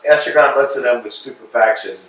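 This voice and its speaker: Guy narrating stage directions for a play reading, medium pitch, kinda far off in a super echoey room. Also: quick pace, fairly bright tone. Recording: very roomy echo, distant mic.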